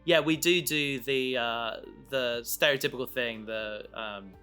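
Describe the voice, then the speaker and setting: A man chatting casually as a podcast co-host, halting and often interrupting himself as he rambles. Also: mid-range voice, bright, forceful tone, clear, resonant tone, soft background music. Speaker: podcast co-host and audio drama creator.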